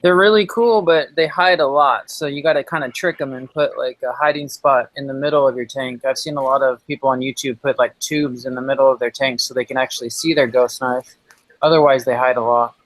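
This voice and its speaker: A man's voice: mid-range voice, steady tone, a little fast, a bit bright, no room sound, rough recording. Male stream guest, casual, hesitant, restarts a lot. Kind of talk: casual conversation.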